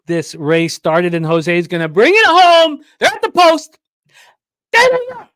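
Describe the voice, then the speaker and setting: A sports radio co-host with a notably high voice, calling a pretend horse race with quick, theatrical flair. The sound is close and dry, with no room around it. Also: male voice, a bit bright, no room sound. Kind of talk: mock horse race commentary.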